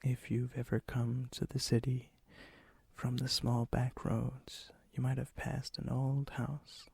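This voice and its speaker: A man playing a lonely, gently teasing vampire in an ASMR roleplay, with a soft, breathy voice that often drops into a whisper. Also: mid-range voice, little pitch movement, no echo, heavily acted. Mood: shy, friendly. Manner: slow, intimate, hesitant, gently teasing.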